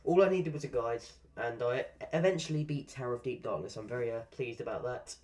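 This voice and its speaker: A man's voice: mid-range voice, a little fast, a little mellow. A man chatting casually while live-streaming a game, rambling through asides and swearing at the game now and then. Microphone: desk microphone.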